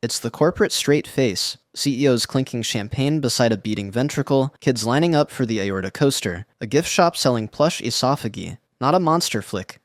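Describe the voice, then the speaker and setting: Male narrator, mid pitch, wry deadpan read, dry booth sound. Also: normal speed, hardly any pauses, dry recording. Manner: slangy, wry deadpan delivery. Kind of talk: scripted internet narration.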